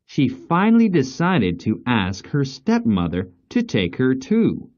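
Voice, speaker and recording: Slow-paced man narrating a fairy tale. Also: medium pitch, dull audio. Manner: slow, deliberate, expressive. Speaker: storyteller.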